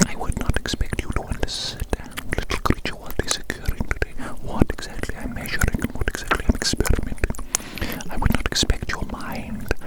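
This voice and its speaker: A woman's voice: lightly acted, low-quality recording. ASMR roleplay: female performer as self-satisfied mad scientist, fully whispered, condescending, savours repetition. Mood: gloating, condescending. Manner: repeats phrases slowly, savouring them, condescending. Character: gloating evil mad scientist doctor, obsessed with experiments.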